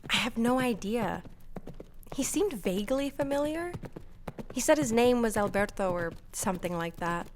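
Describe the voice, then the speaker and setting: An actress playing a tough retired gunslinger in a western radio play, speaking in a guarded, understated way. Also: female voice, fairly high pitch, lively intonation, moderate pace, a little hiss or hum, natural voice. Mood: puzzled, wary.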